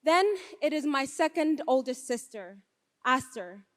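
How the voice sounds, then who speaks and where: Brief: woman from Ethiopia, deliberate live storyteller, slow and high-ish, reverberant hall. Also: animated. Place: stage before a live audience. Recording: long hall reverb on stage.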